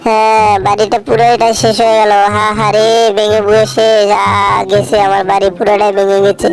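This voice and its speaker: A woman dubbing a bossy, easily panicked cartoon homeowner who scolds and wails loudly. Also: medium pitch, completely flat delivery, a little fast, over music, lightly acted. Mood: lamenting. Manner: scolding, wailing exclamations. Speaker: fan dub voice artist. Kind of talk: homemade cartoon dub.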